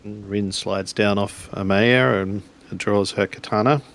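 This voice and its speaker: A man's voice: lowish voice, fairly even intonation, measured pace, fairly bright tone. A man casually narrating his character's actions in a tabletop game, hesitating as he searches for words. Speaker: player in a tabletop roleplaying game.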